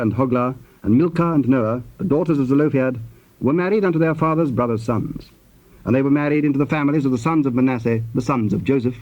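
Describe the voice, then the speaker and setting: A man narrating scripture from a script in a formal, measured style. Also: medium pitch, darkish tone. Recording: slightly dull tape sound.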